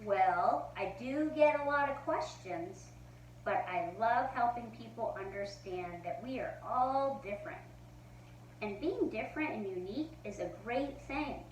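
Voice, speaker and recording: A woman reads as a loving mother tucking her boys in, in a children's storybook. Her higher voice moves slowly and sounds distant in an echoey room. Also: animated. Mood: tender. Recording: roomy echo, far from the mic, faint hum.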